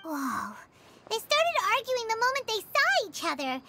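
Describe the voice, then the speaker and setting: A woman voicing a small, curious, well-meaning childlike companion creature in a video game, squeaky and forthright. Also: wildly swooping pitch, unhurried, background noise, exaggerated voice.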